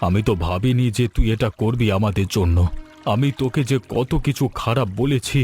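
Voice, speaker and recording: A man playing a proud village gentleman and father, humbled and frightened, in a cartoon. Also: mid-range voice, normal speed, soft background music, natural voice. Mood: humbled, grateful. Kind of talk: cartoon dialogue.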